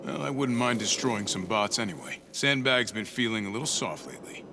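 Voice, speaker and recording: An actor playing a friendly, steadfast superhero leader and loyal old comrade in a video game, easygoing and straightforward. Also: male voice, mid-range voice, fairly quick, background noise, close to an everyday voice. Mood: joking. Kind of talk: cinematic video game acting.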